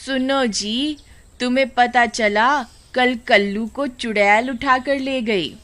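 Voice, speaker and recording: A woman's voice: on the high side, slow, fairly bright tone. A woman playing a cruel, greedy older village mother-in-law in a cartoon story, commanding and sarcastic. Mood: gossipy. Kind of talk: Hindi animated horror story acting.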